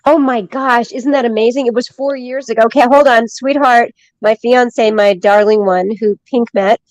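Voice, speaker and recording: Woman, online chat host, effusive, rambling, slightly high voice, dry close sound. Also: fairly even intonation, normal speed, no room sound, rough recording. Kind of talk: casual online panel chat.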